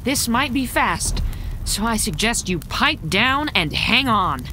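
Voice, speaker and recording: Audio drama: sly kitsune fox spirit, played by a woman. Lively, lightly high voice, condescending, teasing, used to giving orders. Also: slow, background noise. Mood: smug, teasing.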